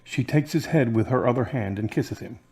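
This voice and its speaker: A man reading the narration and credits of a radio drama in a plain, even way. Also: medium pitch, brisk, husky. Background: guitar music.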